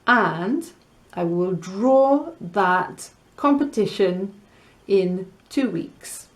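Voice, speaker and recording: A woman reading to children and teaching in a deliberate, teacherly way, mixing Spanish words into English. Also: mid-range voice, animated. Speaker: storyteller and language teacher host. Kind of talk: children's story reading and lesson chat.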